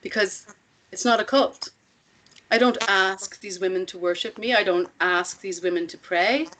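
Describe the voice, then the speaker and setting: An older matriarch, acted by a woman leads her commune with calm certainty. Her mid-range voice is measured and deliberate, persuading through pointed questions in a dramatic table read. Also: mid-range voice, natural voice, rough recording. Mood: calm, assured.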